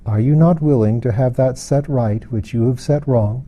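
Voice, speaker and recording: A man voicing a grave, compassionate wise woman in a fantasy audiobook, speaking gently, slowly and with calm patience. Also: mid-range voice, lightly acted. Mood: gently urging. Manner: gentle, slow, solemn. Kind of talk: dramatised audiobook reading of a fantasy novel.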